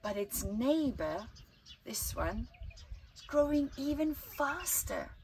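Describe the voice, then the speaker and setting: A middle-aged woman reading a picture book to children expressively, with deliberate dramatic pauses between short phrases. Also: high-pitched, very animated, darkish tone, slight background noise. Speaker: children's storytime host. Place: outdoors in an open gazebo at a flower farm. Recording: outdoor recording with birdsong behind. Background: birds chirping.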